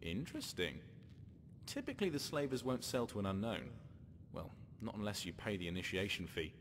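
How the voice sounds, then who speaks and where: Game rogue: low, animated, wry scheming slaver-hunter in a reverberant space. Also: male voice, fairly quick, slight background noise. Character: sly male slaver-hunter rescuing his lover. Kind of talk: game character dialogue.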